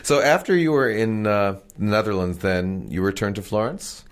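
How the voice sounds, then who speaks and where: A man's voice: lowish voice, normal speed. A man hosting a radio interview, asking curious, friendly follow-up questions. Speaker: radio show host.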